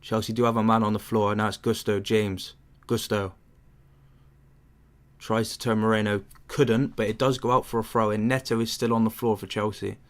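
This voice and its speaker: A man's voice: fairly low pitch, flat delivery, moderate pace. A young man hosting a football watchalong, commentating casually and chatting with viewers, rambling with fillers. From England. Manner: chatty, rambling with fillers, answers chat questions. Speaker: watchalong host.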